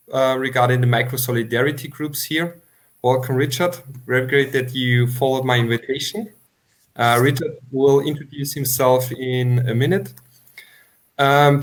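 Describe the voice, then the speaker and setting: A man's voice: mid-range voice, a bit bright. Male interview host, non-native English speaker. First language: not English. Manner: halting, slightly unidiomatic English phrasing. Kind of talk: livestream interview introduction.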